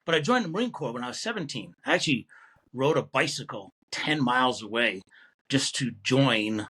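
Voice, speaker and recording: A man's voice: medium pitch, animated, normal speed, sharp tone, breathy edge. A man in his sixties with a Connecticut accent, a retired Marine officer telling his life story in an easygoing, chuckling way as a guest in an interview. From Connecticut, USA. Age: 60s. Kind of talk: casual interview conversation.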